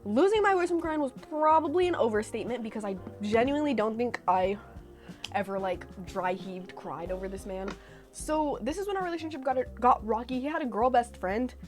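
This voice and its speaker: A young woman from California telling stories in a chatty, animated way with sarcastic asides, reacting to her old posts. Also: fairly high pitch, a little fast, faint music behind. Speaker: vlogger. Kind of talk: casual reaction vlog storytelling.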